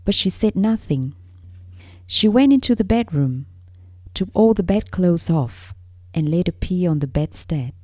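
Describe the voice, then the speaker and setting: A woman narrates a storybook in a slow, measured read-along style. Her voice sits a little low and has a soft, mellow tone. She phrases each piece carefully, pausing often. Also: animated, no echo, studio quality. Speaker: storyteller reading a fairy tale aloud for English learners.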